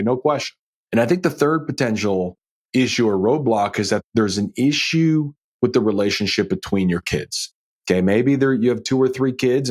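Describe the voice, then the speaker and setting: A man with a slightly husky voice speaks as a financial planner hosting his own podcast. His pitch moves up and down in a lively way as he makes his points. He is conversational and emphatic, folksy, and keeps checking in with the listener. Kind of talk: conversational solo podcast advice.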